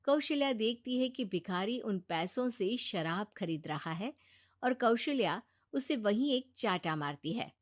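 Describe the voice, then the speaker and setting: A woman's voice: medium pitch, expressive, unhurried. A woman reading scripted narration for a cartoon bedtime story.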